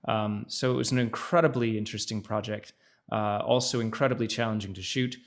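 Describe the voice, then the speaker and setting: A man's voice: on the low side, steady tone, brisk. A man who is an actor, a guest chatting casually in an interview over a video call. He speaks thoughtfully, with many fillers and restarts. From Netherlands, by his mother.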